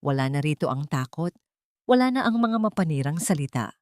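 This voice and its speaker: A woman narrating a scripted dramatic story in a measured, solemn and sentimental manner. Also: low-pitched, sing-song, no echo, studio quality. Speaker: narrator of audio drama stories.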